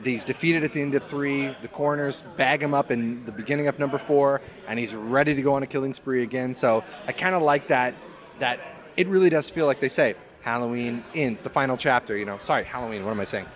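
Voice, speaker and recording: A man's voice: mid-range voice, brisk. A man chatting casually about horror films as a podcast guest, emphatic, slangy and joking.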